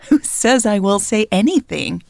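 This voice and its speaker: A woman voicing a teasing, sharp-witted female lover in audio story dialogue, with playful, challenging banter. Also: mid-range voice, expressive, unhurried, creaky, no echo, studio quality, pitched above the performer's own voice.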